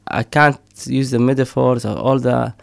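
Man, middle-aged, gravelly, dry close sound, hesitant with fillers. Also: mid-range voice, level tone, moderate pace, no echo. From Somaliland. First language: Somali. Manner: hesitant, many fillers, frequent laughs. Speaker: poet and storyteller. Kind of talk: casual interview talk.

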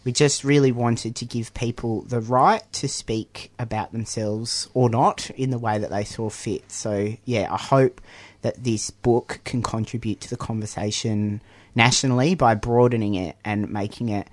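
A man's voice: medium pitch, steady tone, moderate pace. A man, a guest talking in a chatty way about the book he edited on radio, with plenty of fillers and small laughs. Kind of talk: radio interview.

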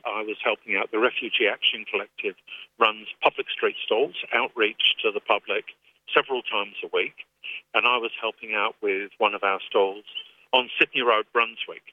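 A man with an English accent, speaking as an activist guest in a radio phone interview, earnest and measured with frequent restarts. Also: lowish voice. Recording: tinny phone line.

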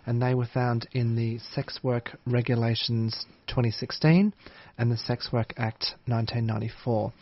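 A man, a policy advisor guest in a radio studio interview, explaining things in a measured way. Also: mid-range voice, no echo, lo-fi sound.

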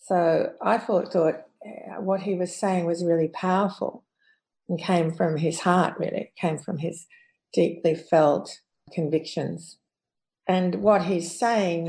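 A woman giving her view as an expert guest, halting and thinking aloud. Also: on the low side, gravelly, warm tone. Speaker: psychologist guest. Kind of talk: reflective interview talk.

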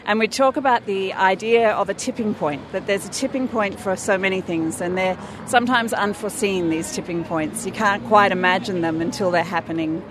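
A woman's voice: mid-range voice, brisk, hardly any pauses. An Australian woman speaking enthusiastically and off the cuff as a campaign organiser in a street interview. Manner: enthusiastic, effusive. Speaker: anti-nuclear campaign organiser being interviewed. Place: outdoors in a city street. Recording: outdoor city recording with crowd noise.

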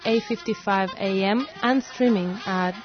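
A woman's voice: mid-range voice, a little slow. A woman reading a scripted radio station promo. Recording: dull lo-fi audio with loud music.

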